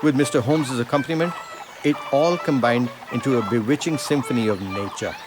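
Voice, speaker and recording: A man acting as an eloquent storyteller in a literary audio drama, narrating in a measured, ornate way. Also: medium pitch, background noise, lightly acted. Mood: lyrical wonder. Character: eloquent male storyteller recalling a scenic journey with wonder. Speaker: voice actor.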